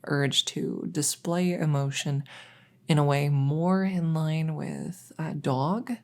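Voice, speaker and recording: A woman playing a kindly, rambling vet in an ASMR audio roleplay, explaining things softly and hesitantly with lots of reassurance. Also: sits low, steady tone, slow pace. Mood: amused, informative. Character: kindly, rambling female vet for supernatural patients. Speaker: voice roleplay performer.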